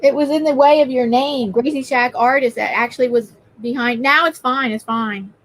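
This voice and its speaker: A woman's voice: high-pitched, fairly even intonation, normal speed, rough recording. A woman hosting a live art stream, chatting casually and reading out and greeting viewers' comments.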